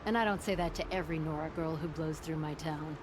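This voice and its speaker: A woman's voice: deep voice, fast talker, noisy background, natural voice. A woman acting a confident, flirtatious, proud tinkerer in a video game, with a wry, teasing manner.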